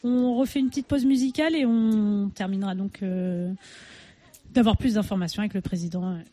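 A woman's voice: medium pitch, steady tone, fairly quick, dark tone. A woman hosting an informal radio interview, polite and hesitant, phrasing her questions in a roundabout way.

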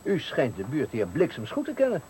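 Testy stranded motorist, noisy recording. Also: male voice, medium pitch, huge pitch swings, brisk, a little mellow. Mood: irritated, defensive. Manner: hesitant at times, testy, defensive. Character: uneasy, irritable stranded motorist waiting at night. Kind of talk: 1980 Dutch radio thriller acting. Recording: noisy 1980 radio play recording.

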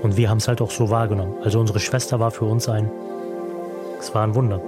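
A young man telling his own life story with earnest reflection, pausing often with fillers. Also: lowish voice, level tone. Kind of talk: personal storytelling in a radio feature. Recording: music bed behind the voice.

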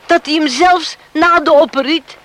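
A woman's voice: sits high, moderate pace, fairly bright tone. An actress playing a middle-aged, protective mother who scolds plainly and emphatically in a Dutch radio drama. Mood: firm, reproachful. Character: indignant, protective island mother confronting her son's would-be father-in-law.

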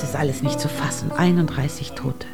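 A woman's voice: rumbling low, steady tone, brisk, loud music behind the voice. An actress in a German radio drama playing a gossipy, appalled woman with an insistent, exclamatory manner. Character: shocked, gossipy woman fixated on the television news.

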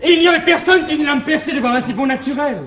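A man playing a lovestruck, anxious young gentleman in a classic French farce, declaiming effusively and theatrically in a roomy old stage recording. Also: extremely high pitch, fast, a little hiss or hum. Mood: rapturous, effusive. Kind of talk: classical stage comedy acting.

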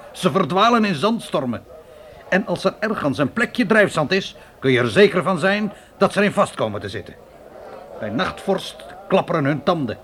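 A man's voice: fairly high pitch, fairly bright tone, slight background noise. A man playing a seasoned, cynical frontier guide in a radio drama, grumbling in a sardonic, colourful way.

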